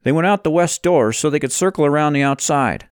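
An anxious, questioning young man in a storybook drama, acted by a male narrator. His voice sits well above a low narrating register and is recorded dry and close. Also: a little fast, clean tone, no room sound, lightly acted. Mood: reassuring.

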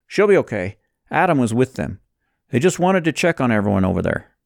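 Guy doing a worried young man in an audiobook, raised pitch, full of questions, dry sound. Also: very animated, brisk, vocal fry, dry recording. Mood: concerned.

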